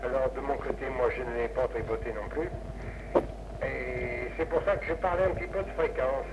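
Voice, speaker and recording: A man's voice: mid-range voice, moderate pace, muffled recording. Noisy old ham radio recording, rambling Frenchman. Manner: rambling, hesitant, repeats himself. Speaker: amateur radio operator. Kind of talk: casual ham radio contact.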